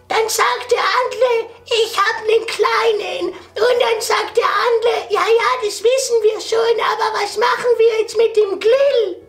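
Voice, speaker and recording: Tiny squeaky childlike prankster Fienchen. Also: male voice, fairly bright tone, full character voice. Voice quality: squeaky, high-pitched. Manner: hesitant, stammering, drawn-out words. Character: Fienchen, small childlike silly naive prankster creature. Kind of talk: improvised prank phone call.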